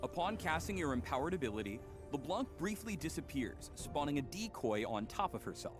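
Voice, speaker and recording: A man's voice: fairly high pitch, big pitch swings, fairly quick. A man narrating a game trailer with an upbeat, polished announcer delivery. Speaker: promo narrator. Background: game music.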